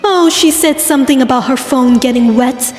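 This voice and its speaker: A woman voicing a doting, brisk pony queen with a chatty, affectionate, motherly manner. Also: high voice, a little mellow, close to an everyday voice. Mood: doting, breezy. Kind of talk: cartoon voice acting in a comic dub. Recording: very echoey, music underneath.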